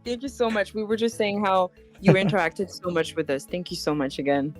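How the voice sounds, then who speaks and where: A woman's voice: medium pitch, fairly even intonation, moderate pace, faint music behind. A woman streamer interpreting for a guest, chatty and a bit nervous, with many fillers and restarts. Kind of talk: casual live stream chat and interpreting.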